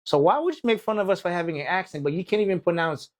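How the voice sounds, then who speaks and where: A man from Haiti with a Haitian accent, hosting a podcast in casual chat, animated and joking, with lots of short agreements. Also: high voice, quick pace. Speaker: podcast host.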